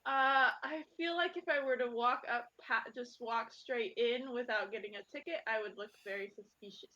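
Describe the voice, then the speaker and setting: A woman with a high, bright voice talks casually as a player in a tabletop game. She thinks aloud as she decides on her moves. The audio is somewhat dull and lo-fi. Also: normal speed.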